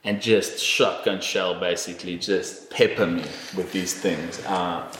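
Deep-voiced man rambling through a story. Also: steady tone, unhurried, a bit bright, reverberant, a little hiss or hum, rough recording. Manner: rambling storyteller, frequent fillers and restarts, swears casually. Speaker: podcast guest telling a travel story. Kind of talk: casual chat.

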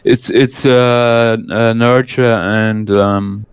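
Slow, halting man. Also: fairly low pitch, fairly even intonation, clean tone, no echo. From Germany. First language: German. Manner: hesitant, many fillers and restarts, swears freely. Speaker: guest. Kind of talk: casual conversation.

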